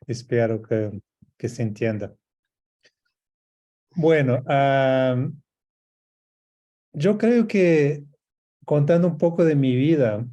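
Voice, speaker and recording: A native Portuguese-speaking man with a Portuguese accent and Rioplatense traces, giving a reflective personal talk in a halting, thoughtful way. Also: medium pitch, dark tone. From Portuguese-speaking Africa. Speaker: guest speaker, coach. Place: at home, by bookshelves, on a video call.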